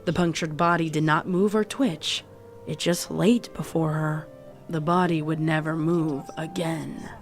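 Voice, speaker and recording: A woman narrating a scripted horror story in a deliberate, dramatic way. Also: deep voice, fairly even intonation, soft background music.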